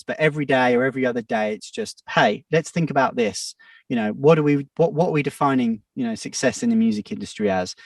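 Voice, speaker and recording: A man in his thirties talks through his thoughts in a casual podcast chat, wandering and restarting as he goes. His voice has a gravelly edge and swings widely in pitch. He sits a little back from the mic in a dry, dead-sounding room. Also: no room sound, far from the mic. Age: 30s. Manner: reflective, rambling with many restarts and fillers. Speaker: music producer and DJ guest.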